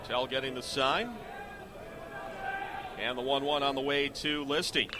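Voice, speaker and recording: A middle-aged man calling live sports on the radio as a play-by-play announcer, in measured, clipped phrases. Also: mid-range voice, fairly even intonation, background noise.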